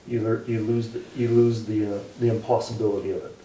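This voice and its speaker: A man thinking aloud in a group discussion, with quick restarts. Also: fairly low pitch, flat delivery, dark tone. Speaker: group member. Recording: roomy, distant mic, faint noise.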